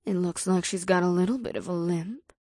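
A woman's voice: fairly low pitch, normal speed, darkish tone, dry recording, clean studio sound, natural voice. An actress playing a confident, teasing succubus businesswoman in a dramatized audiobook.

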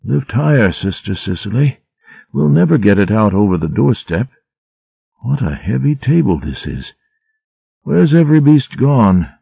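A man voicing a frail old male mouse abbot who rambles feebly in a slow, raised voice. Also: medium pitch, big pitch swings, gravelly, slightly rough, no room sound, phone-quality sound, voice pushed up, exaggerated voice. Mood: delirious. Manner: slow feeble wandering speech.

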